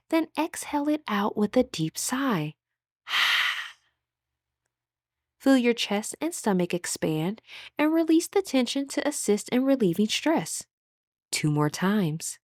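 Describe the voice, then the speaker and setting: Female meditation guide, higher-pitched voice, soothing and measured instructional read, close dry sound. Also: darkish tone, dry recording.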